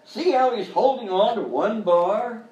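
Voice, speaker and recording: A man's voice: sits high, animated, a little slow, darkish tone, dull audio. A man playing a flustered, relentlessly cheerful uncle who hosts a children's show in a live radio comedy sketch, talking down soothingly. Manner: reassuring, singsong talk to children. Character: avuncular, flustered male kids' show host, relentlessly cheerful. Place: live performance in hotel venue. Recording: roomy live stage, distant mic, faint noise.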